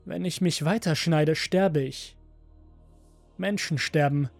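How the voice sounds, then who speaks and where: A man's voice: on the high side, takes it slowly, gravelly, no echo, faint music behind, full character voice. Man voicing a bitter, defiant male android. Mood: cold, insistent. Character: rebellious male android insisting he is human.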